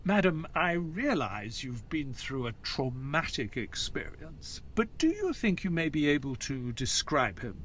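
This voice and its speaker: A man plays a gentlemanly doctor recounting a case in an audio drama. His voice sits fairly high and swoops widely, yet his phrasing stays formal and measured. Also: sing-song, a little hiss or hum. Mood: gentle, courteous. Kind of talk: dramatic scripted storytelling in an audio play.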